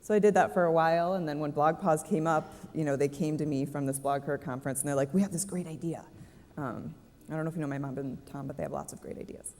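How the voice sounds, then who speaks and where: Chatty woman, low voice, hall reverb. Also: steady tone, quick pace, a little mellow. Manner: chatty, self-deprecating, laughs often. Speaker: panelist. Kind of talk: conference panel talk. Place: conference stage.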